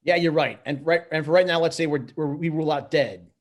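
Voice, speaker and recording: A man chatting casually in a club discussion, halting and often repeating words as he stammers toward his point. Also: on the high side. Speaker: club participant. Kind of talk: casual chat.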